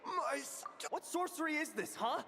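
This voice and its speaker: An actor playing a panicky rank-and-file knight in training in a video game. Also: male voice, extremely high pitch, lively intonation, moderate pace, sharp tone, soft background music, exaggerated voice. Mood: panicked. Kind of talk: scripted game voice acting. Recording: distant reverberant hall, faint noise.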